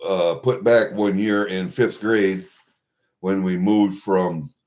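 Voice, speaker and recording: A man in his sixties from Iowa with a Midwestern American accent, chatting to viewers in a rambling, halting, blunt and salty way. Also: low voice, fairly even intonation, darkish tone. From Iowa, USA. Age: 60s. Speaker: vlogger. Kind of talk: rambling casual chat to viewers. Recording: dull lo-fi home recording.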